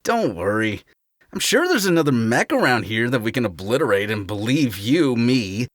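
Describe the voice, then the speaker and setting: A man's voice: medium pitch, big pitch swings, moderate pace, no echo, clean studio sound. A man playing a cocky, goofy young blue hedgehog hero with slangy, laid-back delivery in a fan audio drama. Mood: easygoing.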